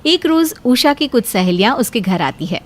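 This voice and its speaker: Female storyteller with a highish voice. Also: very animated, normal speed. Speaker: narrator. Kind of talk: scripted story narration.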